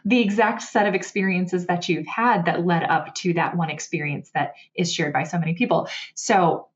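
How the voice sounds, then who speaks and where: A woman's voice: on the low side, fairly even intonation, moderate pace. A woman hosting an interview, talking in an encouraging, reflective, casual way. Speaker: podcast host. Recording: dull, slightly muffled audio.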